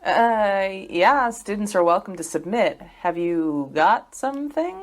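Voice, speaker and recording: A woman's voice: medium pitch, lively intonation, slow. An actress playing a wry, uneasy woman academic in an audio drama, speaking in a hesitant, understated way. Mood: wry, casual.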